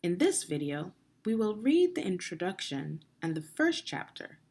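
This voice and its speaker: A woman narrating an instructional children's read-along as a teacher, phrasing deliberately and guiding young listeners through the text. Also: on the low side, sing-song, darkish tone. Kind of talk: instructional read-aloud of a children's nonfiction book.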